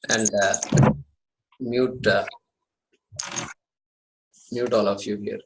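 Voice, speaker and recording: A man's voice: on the low side, little pitch movement, extremely slow, noisy background. Indian man teaching over echoey call. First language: Tamil. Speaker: Sanskrit teacher. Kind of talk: online class orientation talk. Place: his apartment. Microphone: video call.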